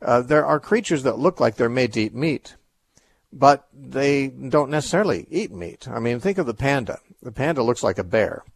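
A man's voice: medium pitch, expressive, moderate pace, vocal fry, husky, no room sound. A man hosting a radio call-in show, answering Bible questions in a thoughtful, explanatory way with frequent restarts.

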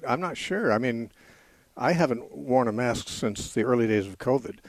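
A middle-aged man hosting a call-in radio show on the Bible, thoughtful, restarting sentences, briskly moving callers along. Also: mid-range voice, animated, no echo. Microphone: studio microphone.

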